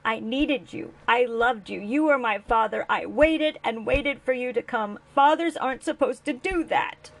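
A woman's voice: on the high side, measured pace, creaky, few pauses, rough recording. A woman voicing a grown man, a wounded and bitter son confronting the father who abandoned him, pleading in an aching voice. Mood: hurt. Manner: pleading, rising to anguished shouting.

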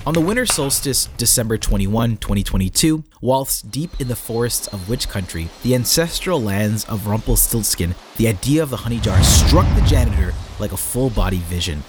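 A man's voice: on the low side, expressive, normal speed, few pauses, slight background noise. A man reading a scripted article aloud as a narrator, with a tongue-in-cheek manner.

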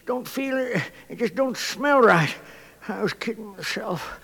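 An actor playing a stubborn, dying old American prospector in a radio western, halting and rustic with a wheezy, cracked voice. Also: male voice, high voice, animated, creaky, bright, forceful tone, husky, full character voice. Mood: pained, weary. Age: older. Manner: halting, rustic, repeats words. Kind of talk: 1950s radio western acting.

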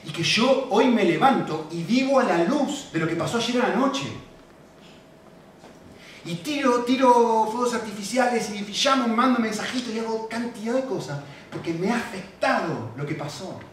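A man with a Rioplatense Spanish accent preaching a sermon, animated and repetitive, often checking in with questions to the congregation. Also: high-pitched, moderate pace, slight background noise. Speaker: pastor. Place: church. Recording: very echoey hall, mic at a distance.

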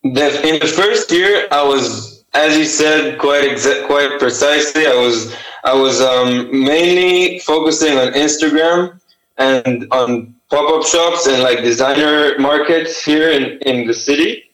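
A man's voice: a little high, measured pace, fairly bright tone, echoey room, poor audio. A young man with an Israeli accent, a native Hebrew speaker, answering interview questions about his art with many fillers and restarts. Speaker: artist and t-shirt designer.

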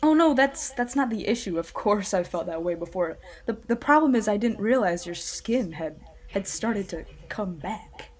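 A woman's voice: medium pitch, lively intonation, normal speed, lightly acted. A woman playing an eccentric, relentlessly upbeat campus tour guide in a comedic audio drama, with a theatrical delivery. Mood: puzzled, matter-of-fact.

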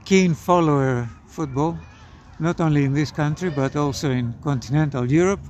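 A man in his seventies from Greece, a podcast guest telling stories in a measured, thoughtful way. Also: on the high side, darkish tone, a little hiss or hum. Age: 70s. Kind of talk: casual conversation.

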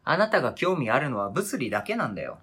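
A man's voice: medium pitch, sing-song, fast, a bit bright, breathy edge. A man acting in a radio drama reading as a wounded, indignant adult who argues with cold, pedantic logic and interrogates relentlessly. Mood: hurt, bitter. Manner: pedantic, interrogating, formal argumentative phrasing.

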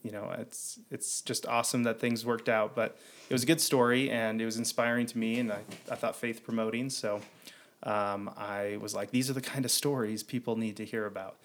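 A man's voice: lowish voice, steady tone, a little fast, fairly bright tone. A man hosting a podcast, rambling with many fillers as he introduces his first guest. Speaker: podcast host. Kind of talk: casual interview conversation.

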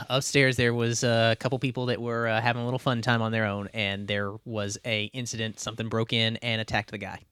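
A man playing a tabletop role-playing game, describing his moves in informal, halting talk. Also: medium pitch, flat delivery, fairly bright tone, smooth, no echo. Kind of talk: casual improvised role-play chat.

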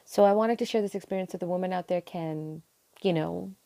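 Low, gravelly, mellow woman. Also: fairly quick. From Sri Lanka. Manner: soft-spoken, frequent ums, meandering. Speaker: interview guest. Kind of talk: casual personal storytelling.

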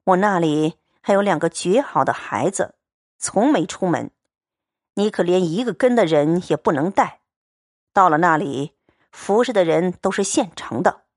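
A woman's voice: lowish voice, lively intonation, normal speed, no room sound, clean studio sound, pitched below the performer's own voice. Liu Xianglian, a woman doing a cold young swordsman. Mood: scheming, coaxing. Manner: curt, coldly sneering.

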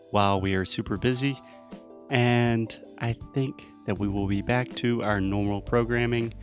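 An American man hosting a casual English-learning podcast, talking straight to listeners in informal, emphatic, simply explained phrases. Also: fairly low pitch. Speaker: podcast host.

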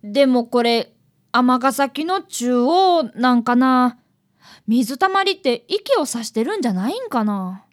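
A woman's voice: sits high, big pitch swings, unhurried. A woman voicing an eager fifth-grade boy, an apprentice detective, in a radio drama. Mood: puzzling, insistent. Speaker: actor and narrator in a radio drama. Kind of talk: radio drama acting and narration.

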